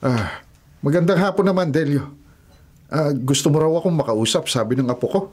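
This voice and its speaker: Grave, superstitious old grandfather, raspy and deliberate, for radio drama. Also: a little high, darkish tone. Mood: curious, courteous. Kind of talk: Filipino radio drama acting.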